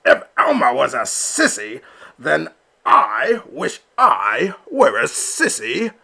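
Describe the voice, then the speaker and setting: A man voicing an old, stubborn father duck who speaks gruffly and insistently. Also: on the high side, wildly swooping pitch, takes it slowly, bright, forceful tone, exaggerated voice. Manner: gruff, insistent and distant-sounding.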